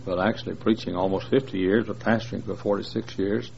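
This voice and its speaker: A man's voice: fairly low pitch, steady tone, brisk, vocal fry, a little mellow. A man in his sixties, a visiting preacher, joking folksily with a large congregation, with many fillers. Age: 60s. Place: large church. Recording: dull lo-fi recording with faint noise.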